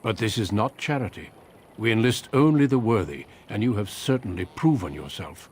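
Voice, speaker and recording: A man playing a seasoned, steady warrior recruiter in a fantasy game, speaking in a measured, formal and courteous manner. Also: lowish voice, slight background noise. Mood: firm. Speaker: Grey Warden recruiter. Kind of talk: video game cutscene acting.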